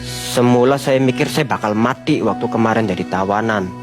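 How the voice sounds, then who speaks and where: A man, a guest telling a long personal story in an unhurried, vivid way. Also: fairly low pitch. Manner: unhurried, vivid storyteller who often addresses the host. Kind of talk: storytelling in a podcast chat. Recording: music playing behind.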